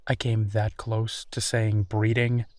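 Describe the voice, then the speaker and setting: A man's voice: on the low side, level tone, slow pace, a little mellow, dry recording. A man playing a friendly, easygoing werewolf in a roleplay audio drama, talking casually and reassuringly with small hesitations. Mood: playful, relaxed. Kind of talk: roleplay audio drama, natural one-sided conversation.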